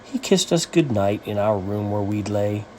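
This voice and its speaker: A man reading a rhyming children's story as its author, with an animated, sing-song rhythmic delivery. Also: fairly low pitch, moderate pace, a little hiss or hum, low-quality recording.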